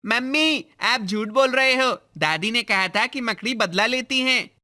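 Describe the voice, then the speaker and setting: A man's voice: extremely high pitch, a little slow, bright, forceful tone, heavily acted. A man voicing a timid young boy in a cartoon story who talks in a pleading, fearful way. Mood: stubborn. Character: timid seven-year-old boy afraid of spiders. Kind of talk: cartoon voice acting.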